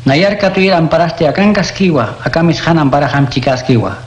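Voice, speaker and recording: Un hombre interpreta a Jesús enseñando con calma y tono instructivo en un radioteatro cristiano. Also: male voice, fairly high pitch, fairly quick, muffled recording, natural voice. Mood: teaching. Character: Jesus, calm adult male teacher. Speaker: voice actor. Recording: echoey room.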